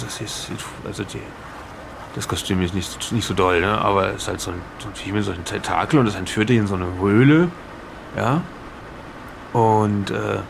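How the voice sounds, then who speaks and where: A man's voice: mid-range voice, creaky, background noise. A man playing a chatty, vague traveller in an improvised audio play, rambling hesitantly and fishing for agreement. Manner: rambling, hesitant, seeking agreement with little tag questions. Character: chatty, vague male traveller retelling a monster film.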